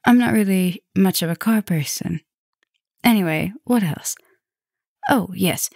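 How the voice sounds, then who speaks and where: A woman playing a caring, softly dominant vampire professor in an ASMR roleplay, talking gently and intimately to the listener, with breathy drops toward a whisper. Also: mid-range voice, unhurried, dry recording, clean studio sound. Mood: chatty, casual.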